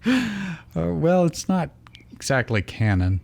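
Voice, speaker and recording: A man's voice: fairly high pitch, sing-song, slow, creaky, darkish tone. A man narrating fan fiction as a comedic host, dropping into casual asides. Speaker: reader, host.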